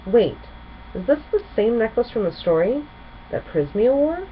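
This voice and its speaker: A woman's voice: on the high side, very animated, moderate pace, pitched above the performer's own voice. Lady playing an eager young pony princess in a storybook, earnest girl, kinda muffled audio w noise. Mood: dreamy. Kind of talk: storybook character reading. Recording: muffled home recording with clear noise.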